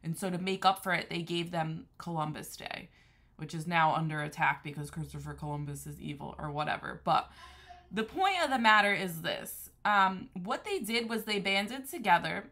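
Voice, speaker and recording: Earnest woman, low and bright. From New Haven, Connecticut area, USA. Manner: earnest, discursive, restarts often. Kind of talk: unscripted religious monologue.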